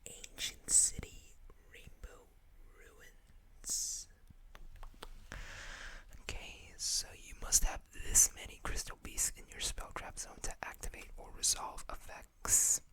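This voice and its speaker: Whispering ASMR host, bright and breathy. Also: slow pace. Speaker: host of a card game ASMR show. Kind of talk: ASMR, whispered reading of card texts with asides.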